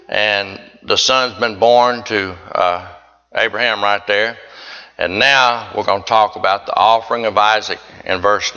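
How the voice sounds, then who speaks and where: A man's voice: on the low side, bright, forceful tone. A man preaching a sermon, reading scripture aloud and emphatically repeating his points. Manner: repetitive, emphatic. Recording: dull lo-fi recording.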